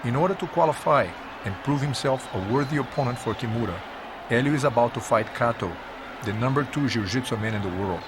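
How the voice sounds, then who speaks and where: A man narrates a historical sports documentary from a script in a measured, emphatic storytelling manner. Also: medium pitch, animated, crowd noise, noisy background.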